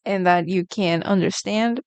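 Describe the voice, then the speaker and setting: Woman, casual streamer chat, smooth resonant voice, mid pitch. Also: a little slow, darkish tone, no room sound. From Brazil. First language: Portuguese. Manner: chatty, reacts to chat messages. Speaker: artist streamer. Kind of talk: casual live-stream chat while drawing.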